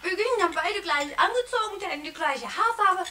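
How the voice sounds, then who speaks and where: A woman playing a lively, imaginative little girl in a 1960s German radio play, chattering playfully. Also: very high voice, brisk, exaggerated voice. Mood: excited, gleeful. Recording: distant, noisy old vinyl record.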